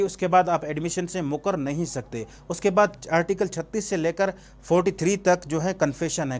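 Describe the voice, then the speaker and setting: A man's voice: a little high, darkish tone. A man with a Pakistani accent gives an informal exam-prep lecture as a teacher, posing questions and answering them himself and mixing in English terms. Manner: switches between Urdu and English terms. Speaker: law teacher.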